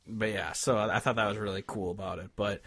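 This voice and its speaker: A man's voice: fairly low pitch, steady tone, quick pace, a bit bright, no echo. Casual man podcaster in a dry room. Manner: swears casually. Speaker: podcast co-host. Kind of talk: casual music discussion.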